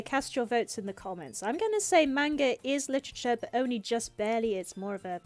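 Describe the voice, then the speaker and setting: A woman's voice: on the high side, darkish tone. A woman streamer with a sarcastic, theatrical manner, reading out game dialogue and commenting on it. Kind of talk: livestream game playthrough commentary, reading dialogue aloud with reactions.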